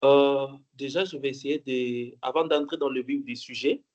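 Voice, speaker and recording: A man's voice: mid-range voice, steady tone, normal speed, a little mellow, muffled recording. A young man from the Congo giving a talk at an online conference, hesitant with many fillers and restarts, repeating points for emphasis. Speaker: conference speaker. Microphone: online call mic.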